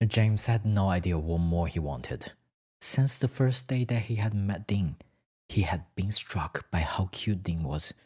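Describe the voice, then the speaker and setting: A man's voice: sits low, lively intonation, unhurried, darkish tone, husky. A man reading scripted fiction as a story narrator.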